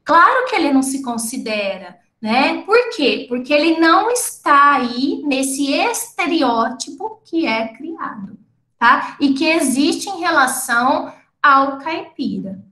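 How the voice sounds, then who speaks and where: A woman's voice: sits high, big pitch swings, slow, pushed and bright, reverberant. A woman giving an academic lecture to teachers, explaining step by step and often checking in with tag questions. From not from the city of the event. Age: adult with completed schooling. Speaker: lecturer and researcher.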